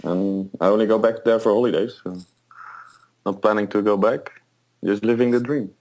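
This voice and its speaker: Man, lowish voice. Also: moderate pace, rough recording. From Netherlands. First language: Dutch. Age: late 20s. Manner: relaxed, explains step by step with self-corrections. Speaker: airline pilot guest. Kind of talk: casual interview.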